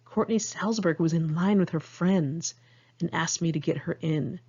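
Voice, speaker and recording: A woman's voice: lowish voice, normal speed, darkish tone, slightly rough, muffled recording. An American woman reading her own diary-style memoir aloud in a candid, conversational way. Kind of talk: audiobook narration of diary entries.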